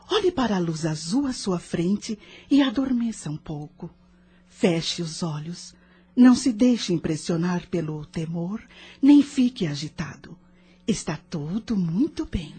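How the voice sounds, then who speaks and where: A woman playing a gentle spirit caretaker in an audio drama, calm and tender, with a soft, velvety voice. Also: fairly low pitch, breathy edge, heavily acted. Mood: soothing. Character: gentle, soothing female spirit caretaker of the newly dead.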